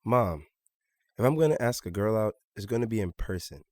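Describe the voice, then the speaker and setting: An actor playing a polite, earnest teenage high school boy in an audio drama, slightly embarrassed and brief in his replies. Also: lively intonation, brisk, no echo, lightly acted. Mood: bashful, earnest.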